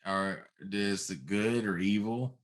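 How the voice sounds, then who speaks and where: A man's voice: on the low side, takes it slowly. A middle-aged man in casual, informal conversation as a talk show co-host. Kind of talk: casual chat.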